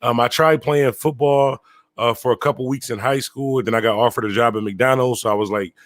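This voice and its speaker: A young man rambles through casual interview answers, leaning on "like" a lot. He talks fast, and his voice sounds dry and close, with no room around it. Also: mid-range voice, fairly even intonation, dry recording. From Buffalo, New York. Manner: loose, rambling, heavy use of "like". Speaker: DJ guest.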